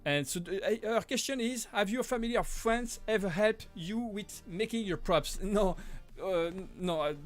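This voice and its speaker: French-accented man, high and halting. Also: soft background music. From Switzerland. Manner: halting, many restarts, self-correcting. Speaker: live-stream host, prop maker. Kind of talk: casual live-stream Q&A.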